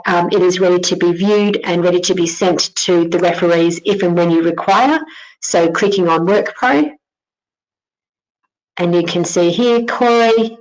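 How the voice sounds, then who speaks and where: A woman with a slightly low voice narrates a screen-share software demonstration. She speaks informally as a company presenter, taking the listener through each step in an instructional way. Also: rough recording.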